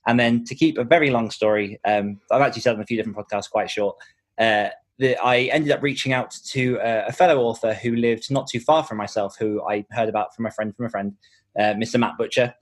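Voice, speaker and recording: A man chatting casually as a guest author in a podcast interview. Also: mid-range voice.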